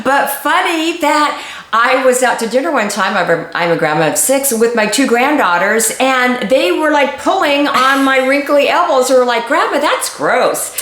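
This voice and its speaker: A woman's voice: high voice, animated, moderate pace, fairly bright tone, echoey room. A chatty, giggly woman in her sixties co-hosting a casual talk show. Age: 60s. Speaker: co-host. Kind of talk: casual chat.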